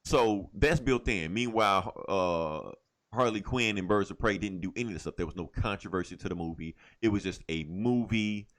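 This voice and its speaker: A man's voice: lowish voice, normal speed. Emphatic man co-hosting a casual podcast. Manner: emphatic, rhetorical, repeats phrases for effect. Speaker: podcast co-host. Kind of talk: casual opinionated pop culture podcast talk.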